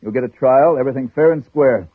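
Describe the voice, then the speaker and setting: An actor playing a gruff, sardonic Yankee army sergeant in old radio drama style. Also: male voice, mid-range voice, fast, natural voice. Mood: mocking, brusque. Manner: brusque, sardonic. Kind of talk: 1940s-50s radio drama acting. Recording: tinny old radio, music behind.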